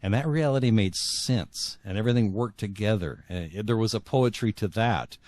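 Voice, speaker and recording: A middle-aged man with a low voice, recorded dry and close. He talks as an interview guest reflecting on his own life, halting and repeating words for emphasis. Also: a little mellow, no room sound. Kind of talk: reflective personal storytelling in an interview.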